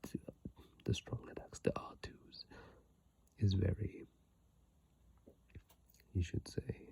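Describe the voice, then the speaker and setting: A man reading and commenting in hushed ASMR style into an iPhone mic, slow and drawn out, with a breathy, husky, mostly whispered voice. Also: low-pitched, level tone. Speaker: ASMR game content creator.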